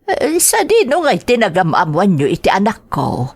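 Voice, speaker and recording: A protective older-generation mother questions carefully in an Ilocano accent. Her radio drama delivery is measured and probing, recorded dry and close. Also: mid-range voice, wildly swooping pitch, a little mellow, breathy edge, no room sound, exaggerated voice. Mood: wary, probing. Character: probing, cautious mother of a grown daughter. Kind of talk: Filipino radio drama acting.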